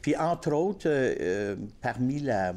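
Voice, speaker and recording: A man from Northern Ontario, a guest on a TV talk show describing group trips in a halting way with many fillers. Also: mid-range voice, sing-song, over a noisy crowd. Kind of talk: casual TV talk.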